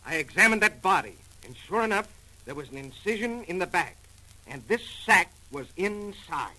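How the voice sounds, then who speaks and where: Excitable, high-voiced police doctor. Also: male voice, normal speed, full character voice, rough recording. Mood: excited, urgent. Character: excited male police doctor urgently reporting a discovery. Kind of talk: 1940s radio crime drama acting.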